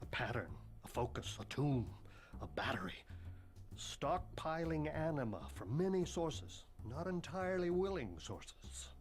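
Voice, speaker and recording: A bookish headmaster of a school for the occult. He is pompous and morbid, delivering ornate, formal phrases with a deadpan air. It is video game cutscene acting, with music faintly underneath. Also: fairly high pitch, unhurried. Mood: grave, ornate.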